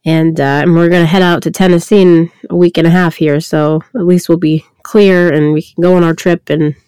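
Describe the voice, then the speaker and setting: A woman co-hosting a casual podcast, her voice raspy from a recent cold, chatty and laughing often. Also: fairly low pitch, steady tone, a little fast, mellow, no echo, studio quality. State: recovering from a cold. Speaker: podcast co-host. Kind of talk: casual chat.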